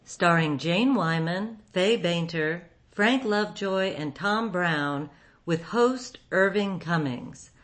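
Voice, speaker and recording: A woman's voice: lowish voice, takes it slowly. A woman reading a scripted introduction as host of a radio program.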